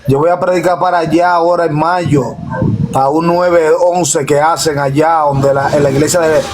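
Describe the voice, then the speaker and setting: Man, pastor, fairly high voice, emphatic repetitive delivery, informal preaching, clearly noisy live audio. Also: fairly even intonation, moderate pace. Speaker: pastor hosting a live discussion.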